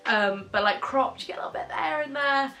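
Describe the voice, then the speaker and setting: A woman's voice: sits high, brisk, pushed and bright. A young woman giving a casual, chatty step-by-step crochet tutorial at home, with frequent ums.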